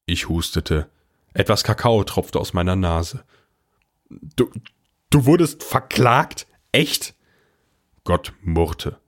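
A man playing a puzzled boy who narrates an audio drama in the first person, in a measured, understated way. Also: low-pitched, animated, exaggerated voice. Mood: startled, wry. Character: puzzled boy narrator recounting his talk with God.

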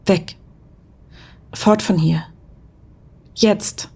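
Casting: actress as frightened woman informer for a spy radio play, husky breath, halting and pleading. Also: mid-range voice, fairly even intonation, dark tone, heavily acted. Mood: urgent pleading. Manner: halting, pleading, repeats words. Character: desperate, frightened young Soviet woman coerced into spying. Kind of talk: German audio drama acting.

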